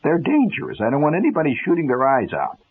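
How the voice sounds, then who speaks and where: A man voicing a firm, dismissive mother in a radio story, matter-of-fact and brisk, on a muffled 1970s broadcast. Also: a little high, wildly swooping pitch, breathy edge, pitched above the performer's own voice, full character voice.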